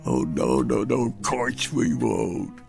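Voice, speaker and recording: An actor playing an anxious, stubborn old grandfather in a radio drama, stammering and muttering in a gravelly voice. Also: lowish voice, sing-song, slow pace, darkish tone, heavily acted. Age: older. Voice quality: gravelly, creaky. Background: music.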